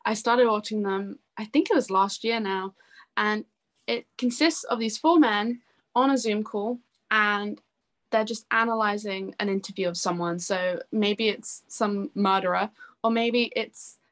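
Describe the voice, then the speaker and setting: A woman's voice: medium pitch, unhurried, a bit bright. A young woman talking casually and enthusiastically as an interview guest, in a chatty, informal way. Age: young adult. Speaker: interview guest, singer-songwriter. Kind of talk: casual chat.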